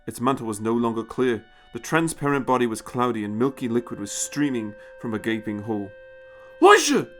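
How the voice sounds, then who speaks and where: A man's voice: mid-range voice, steady tone, fairly quick, few pauses. Man narrating a dramatic story, music behind.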